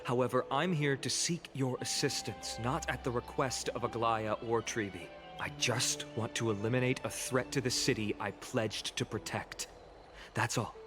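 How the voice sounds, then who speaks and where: A young, glib yet earnest hero voiced by a man speaks in a mid-pitched voice with polite sincerity. It is smooth anime game acting over clear background music. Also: normal speed, natural voice. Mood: grave, determined. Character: earnest young heroic swordsman, former student, glib.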